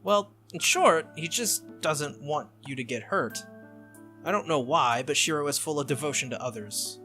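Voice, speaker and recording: A man reading a visual novel aloud and voicing a sharp-tongued, bossy teenage girl mage in a brisk, lecturing way. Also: on the high side, lively intonation, faint music behind. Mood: teasing.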